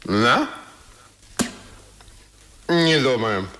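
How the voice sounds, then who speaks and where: A man's voice: medium pitch, big pitch swings, pushed and bright, exaggerated voice. An actor playing a phlegmatic, self-satisfied American major in a radio drama, laconic and drawling out his words. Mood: smug, languid. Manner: laconic, drawn-out, smug. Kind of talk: Soviet 1970s radio drama acting. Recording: long hall reverb.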